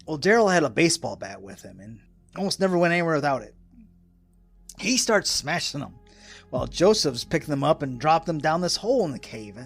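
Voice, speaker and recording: An actor playing a folksy, rambling old American hermit in a radio drama, with a gravelly, raspy voice and an excitable rustic manner. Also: male voice, on the high side, a little fast, faint music behind, full character voice. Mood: excited. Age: older.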